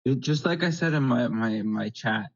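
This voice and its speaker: A man's voice: mid-range voice, normal speed. A man with a Canadian accent chatting casually, rambling and hesitant, often playing himself down. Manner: rambling, hesitant, self-deprecating. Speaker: guest. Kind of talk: casual online chat. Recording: slightly dull lo-fi audio.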